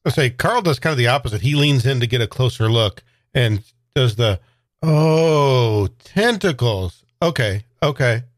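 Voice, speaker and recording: A man's voice: medium pitch, animated, a little slow, dry recording. A man with a mid-range voice chats as a player in a tabletop game. He casually describes his character's actions, with a dry, close sound.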